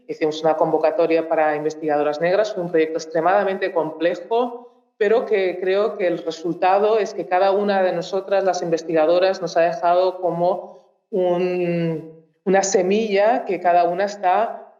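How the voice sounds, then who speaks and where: A woman from Mozambique, a native Portuguese speaker, giving a public talk as a researcher, thinking aloud with frequent pauses and restarts. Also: fairly low pitch, mellow, roomy sound, lo-fi sound. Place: university auditorium.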